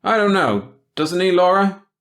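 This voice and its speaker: A man's voice: high-pitched, lively intonation, a little slow, fairly bright tone, pitched above the performer's own voice, full character voice. A man voicing a young small-town driver who speaks in a blunt, puzzled way.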